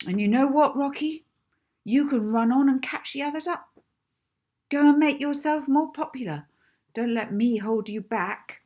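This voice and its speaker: A woman's voice: high-pitched, measured pace, dark tone, pitched above the performer's own voice. A woman voicing a hurt, snappy, defiant schoolgirl in a children's audiobook, heated and accusing. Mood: hurt, furious. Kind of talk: acted children's audiobook dialogue.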